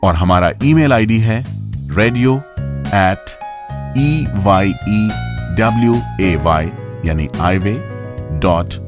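A man making a scripted radio announcement in a deliberate, enunciated way. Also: lowish voice, over music. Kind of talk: scripted announcement of contact details.

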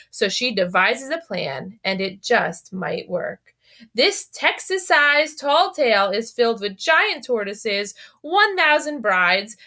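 A woman reading a scripted narration that recommends children's books, expressive and carefully enunciated. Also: on the high side. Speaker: library book recommender, presenter. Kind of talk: scripted promotional narration of children's book picks.

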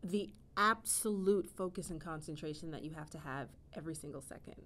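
A woman in her thirties, a stage actress and singer, chatting casually in an interview, low-voiced and often laughing. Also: a little fast. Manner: laughing often, animated with hand gestures. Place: indoor room with wooden shelves.

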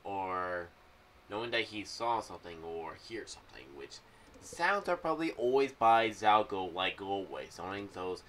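Young male vlogger, fairly low voice, loose off-the-cuff commentary with halting phrasing, noisy home recording. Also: big pitch swings, fairly bright tone. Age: young adult. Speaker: video creator sharing fan theories. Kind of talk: unscripted rambling commentary. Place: small room at home. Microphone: boom-arm microphone with pop filter, headphones.

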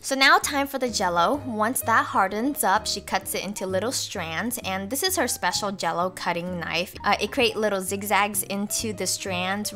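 A woman's voice: mid-range voice, pushed and bright. Young woman narrating a cooking recipe. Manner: cheerful step-by-step instruction. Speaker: cooking channel host. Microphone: voice-over.

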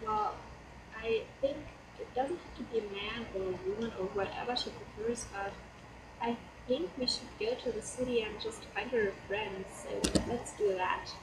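A teenage girl from Germany with a German accent talks through her game in a casual, hesitant way, with plenty of fillers. Her voice is a bit hoarse from a cold. She sounds distant in an echoey room, with laptop fan noise humming behind her. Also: a little high, normal speed. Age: teens. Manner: chatty, hesitant, many fillers. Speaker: let's play host. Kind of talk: casual gameplay commentary. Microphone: laptop mic.

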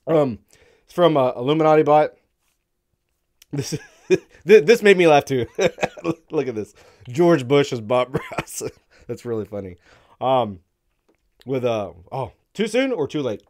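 Hoarse male stream host, fading voice, blunt and wry. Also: mid-range voice, lively intonation, unhurried, long pauses, darkish tone. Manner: blunt, wry, breaks into laughs. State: hoarse, losing his voice, coughing. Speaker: crypto live-stream host. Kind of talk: casual opinionated commentary. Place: dark studio room, indoors. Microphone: studio mic on stand in front.

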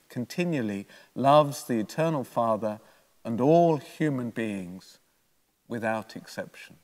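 Older priest in a reverberant church. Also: male voice, medium pitch. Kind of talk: homily, read from prepared notes. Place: church, at a lectern. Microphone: gooseneck lectern microphone.

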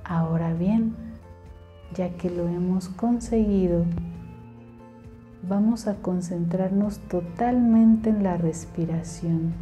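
Female meditation guide: very warm, relaxed voice, unhurried soothing instruction. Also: on the low side, soft background music.

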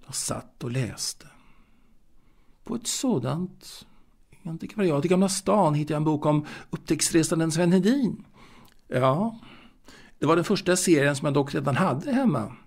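A male actor playing a wistful, bookish, secretive man who recalls his youth in a halting, confiding audio drama monologue, with a creaky voice. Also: a little high, lively intonation, darkish tone. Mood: nostalgic, musing. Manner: hesitant, halting, repeating words.

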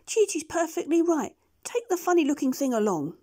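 A fussy duck who runs the household, voiced by a woman reading aloud, scolds in a high, sharp-edged voice. She is brisk and practical, never one to let foolishness pass. The sound is plain and close. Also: very animated. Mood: scolding.

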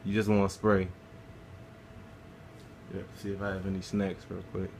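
A man's voice: deep voice, completely flat delivery, moderate pace, vocal fry, background noise. A man casually talking through a game walkthrough, laid-back and swearing freely as he plays. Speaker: gameplay guide narrator.

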